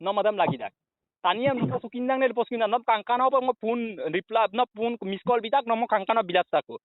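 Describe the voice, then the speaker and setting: A man's voice: extremely high pitch, fast talker, no echo. A man in a heated, slangy phone argument, confrontational and scolding, repeating himself. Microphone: phone call. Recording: muffled dry phone call recording.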